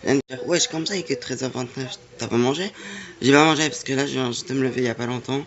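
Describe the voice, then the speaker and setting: A man's voice: medium pitch, quick pace, a bit bright, muffled recording. A man chatting casually while reacting to videos, in a teasing, slangy way. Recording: music playing behind.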